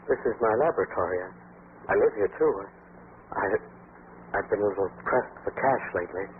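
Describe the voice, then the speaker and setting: A man's voice: fairly low pitch, expressive, natural voice. Man playing an obsessed, intense radio-drama scientist, tinny recording. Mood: fervent. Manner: intense, halting, repeats himself. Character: obsessed, embittered down-and-out male research scientist. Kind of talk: 1940s radio acting. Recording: tinny, noisy old 1940s radio recording.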